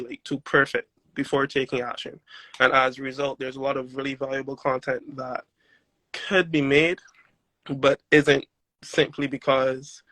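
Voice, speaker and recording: A man from Barbados with a light Barbadian accent, a steel pan player speaking as a guest in casual podcast chat, thinking out loud and adding asides. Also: mid-range voice, dry recording, poor audio. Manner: reflective, talks through ideas with asides. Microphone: phone.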